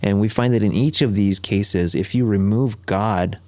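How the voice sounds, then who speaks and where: A man raised in South Korea, his first language Korean, with a very low voice. He talks in an easygoing, conversational way, pausing on fillers and restarting sentences. The sound is dry and close, with no room echo. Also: darkish tone. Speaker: podcast guest, ministry worker. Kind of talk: conversational storytelling.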